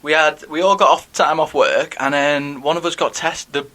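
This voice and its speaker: A man's voice: a little high, fairly even intonation, normal speed, a bit bright. A man co-hosting a climbing podcast, chatting playfully and laughing often. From New Zealand. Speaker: podcast co-host. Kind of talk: casual chat.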